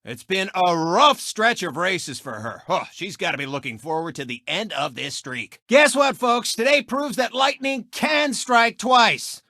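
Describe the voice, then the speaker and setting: A cocky cartoon race car holds forth as a gloating sports-show host in a racing game. The delivery is bright and forceful, swinging widely in pitch, smug and mocking, on a very dry, close recording. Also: male voice, sing-song, unhurried, hardly any pauses, no echo, full character voice. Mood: showy commentary. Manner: smug, showy, exclamatory, mocking. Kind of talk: animated sports commentary and taunting.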